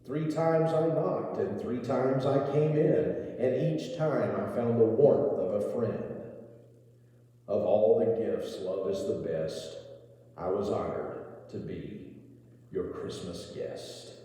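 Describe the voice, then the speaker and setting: A man voicing the Lord in a storybook poem, gentle, solemn, tender and deliberate. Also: on the low side. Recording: echoey church hall with long reverb.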